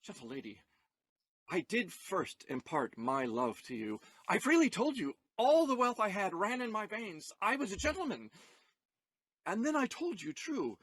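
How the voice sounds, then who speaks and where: An actor playing an earnest, distraught young gentleman lover in a Shakespearean audio play, with theatrical, declamatory delivery. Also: high-pitched, big pitch swings, normal speed, slightly rough, no room sound, full character voice. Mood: anguished confession.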